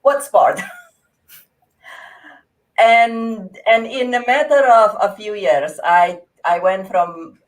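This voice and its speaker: A woman in her forties with an Indonesian accent, a guest in an interview, speaking earnestly with frequent laughs and halting restarts. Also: mid-range voice, fairly even intonation, poor audio. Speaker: interview guest, grief coach. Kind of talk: conversational interview.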